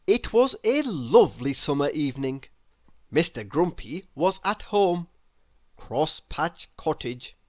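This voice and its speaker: A man reading a children's story aloud with dramatic, deliberate, expressive narration. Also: on the high side, no echo, clean studio sound. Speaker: storybook reader.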